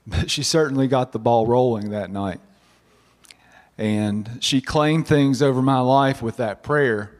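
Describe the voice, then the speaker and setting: Man in his thirties, husky from a cold, halting testimony, mid pitch, long church-hall reverb. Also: darkish tone. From southern West Virginia. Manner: halting, many restarts and fillers. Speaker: doctor giving a church testimony. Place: church sanctuary.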